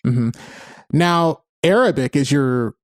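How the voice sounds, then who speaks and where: A man's voice: medium pitch, animated, slow, slight background noise. A man hosting a podcast interview. He opens with upbeat, announcer-style introductions and asks follow-up questions. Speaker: podcast host. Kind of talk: hosted interview.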